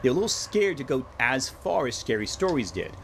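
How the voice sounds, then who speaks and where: A man's voice: mid-range voice, big pitch swings, fairly quick, gravelly, a little hiss or hum. A man delivering scripted video-essay narration with casual, wry, incredulous asides.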